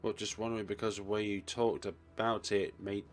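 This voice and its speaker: A man's voice: lowish voice, flat delivery, normal speed. A man hosting a let's play, reading visual novel text aloud with casual commentary.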